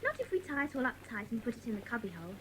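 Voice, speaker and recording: A high, lively child's voice from a woman acting, polite and reassuring. The manner is reasonable and apologetic, in a children's audio drama with faint noise behind. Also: racing, rough recording. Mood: placating.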